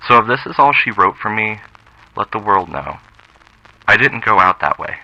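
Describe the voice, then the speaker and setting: An actor playing a doomed small-time thief in a classic radio drama, measured, streetwise and earnest, remorseful yet resolute. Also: male voice, on the low side, very animated, gravelly, sharp tone, dry recording, lo-fi sound, full character voice.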